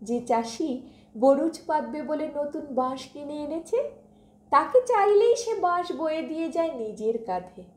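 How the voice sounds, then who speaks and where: A woman reciting poetry expressively, with dramatic, deliberate phrasing and pauses. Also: sits high, expressive, a little mellow. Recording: roomy, echoing voice recording.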